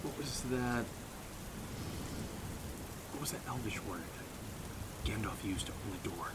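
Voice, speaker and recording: A man's voice: fairly low pitch, animated, a little slow, very noisy. An actor playing an anxious young man who stammers and mutters to himself in an audio drama. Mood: anxious self-talk. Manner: jittery muttering, stammering, self-talk. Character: anxious, rambling young man nervously searching alone.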